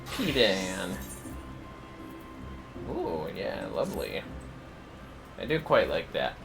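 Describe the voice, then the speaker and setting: A man's voice: low voice, big pitch swings, takes it slowly, music in the background. A man giving casual, playful livestream commentary on a video game, muttering asides to himself.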